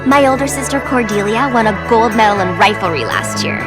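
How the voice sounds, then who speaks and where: A woman's voice: on the high side, brisk, close to an everyday voice. An actress playing a perky, ditzy eighteen-year-old cheerleader in a video game, gushing in girlish slang. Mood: chirpy, gushing. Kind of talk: game cutscene narration in character. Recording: loud music under the voice. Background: loud game music.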